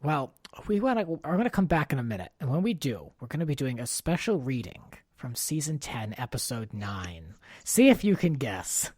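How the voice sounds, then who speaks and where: A man hosts a casual pop-culture podcast in a medium-pitched voice. He is chatty and opinionated, talking freely. The sound is dry, with very little room in it. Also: big pitch swings, moderate pace, hardly any pauses, darkish tone, breathy edge, no echo. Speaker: podcast host.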